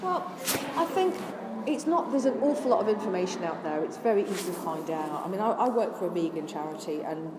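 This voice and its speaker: A woman's voice: on the low side, animated, fairly quick, few pauses. An older woman from Scotland who has lost her accent, answering interview questions in an earnest, chatty way and listing things off with many restarts. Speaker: interviewee, vegan charity worker. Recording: echoey room with noise.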